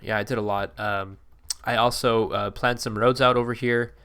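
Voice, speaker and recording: A man's voice: mid-range voice, steady tone, normal speed, fairly bright tone, clean tone. A man with a slightly congested, allergy-stuffed voice, giving casual, rambling commentary over a city-building game with many fillers and self-corrections. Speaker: gameplay video creator.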